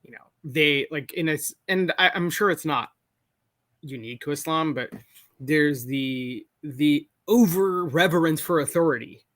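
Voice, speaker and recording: A young man calling in to a live show, talking in a halting way full of restarts and self-corrections. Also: on the high side, a bit bright, dry recording, studio quality. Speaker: caller. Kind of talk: casual call-in conversation. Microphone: call-in over a video call.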